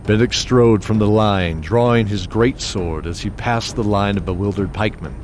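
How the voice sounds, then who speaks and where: Low-voiced male audio drama narrator. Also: darkish tone, slight background noise. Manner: measured, descriptive storytelling. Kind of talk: scripted narration. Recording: music bed under voice.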